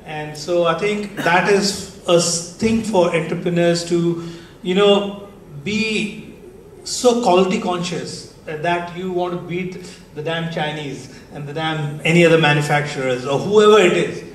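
A man with an Indian English accent on a panel, speaking in a measured, emphatic way and slipping in Hindi phrases. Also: fairly high pitch. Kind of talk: panel discussion. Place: large conference hall stage, seated panel. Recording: echoey hall, distant mic, faint noise.

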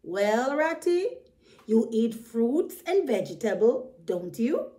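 Woman as storybook bird friend, high-pitched, slow, emphatic, frank and caring advice-giver. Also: very animated, mellow. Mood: admonishing. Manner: admonishing, emphatic, encouraging. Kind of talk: children's storybook character voice.

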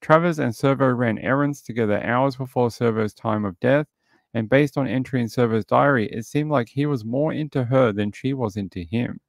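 Middle-aged male streamer, dry close sound, gravelly, chatty recap host. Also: medium pitch, moderate pace, no room sound. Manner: chatty, greets viewers, recaps and reads articles aloud. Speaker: livestream host reviewing a true crime show. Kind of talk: casual livestream commentary.

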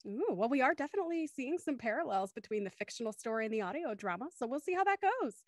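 A young woman with a very high voice hosts a livestream in an upbeat style. She is close on a studio mic, and the sound is dry. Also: big pitch swings, quick pace, no echo. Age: young adult. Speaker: show host. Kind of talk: upbeat livestream hosting, introducing guests. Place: small room at home, video call. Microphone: studio microphone on a stand, headphones.

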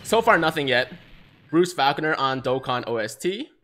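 A young man from China, a native Mandarin speaker, excitedly streaming live gaming commentary on a headset and reading chat aloud. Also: on the high side, normal speed. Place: small room, seated in gaming chair.

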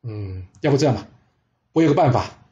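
A man's voice: mid-range voice, lively intonation, brisk, mellow, lo-fi sound, close to an everyday voice. A man storyteller voicing a loyal, respectful archer disciple from ancient China, speaking earnestly and formally. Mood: torn, deferential.

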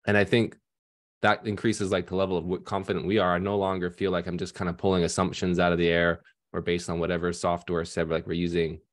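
Deep-voiced man talking on a headset. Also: flat delivery, quick pace. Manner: conversational with many fillers and restarts. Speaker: financial advisor panelist. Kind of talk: casual panel discussion. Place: home room at night. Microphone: headset on video call.

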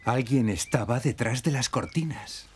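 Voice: medium pitch, normal speed, a little hiss or hum. A narrator of a preschool children's show, telling what happens in simple, repetitive scripted sentences.

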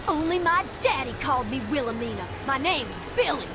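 A defiant blood-magic soldier from a video game. Her voice is high and lively, her manner tough and terse. Music plays behind her. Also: fairly quick. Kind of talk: video game cutscene acting.

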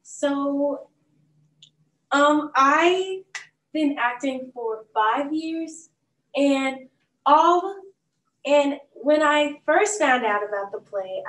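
Teen girl talking about acting, slow and easygoing, says like a lot, echoey room. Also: sits high. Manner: relaxed, lots of "like" and "um". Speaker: young stage actor. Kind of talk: casual chat. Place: bookstore, seated on a stool. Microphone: far camera. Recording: roomy sound from a distant mic.

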